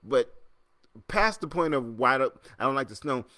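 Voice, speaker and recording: A man's voice: mid-range voice, lively intonation, normal speed, bright, forceful tone, no echo, studio quality. A middle-aged man from Trinidad hosting a casual livestream chat from his home, rambling with lots of restarts and reading viewer comments as they come in. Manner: rambling, chatty, many restarts and fillers, reads comments aloud. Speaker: host. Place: home in New York City.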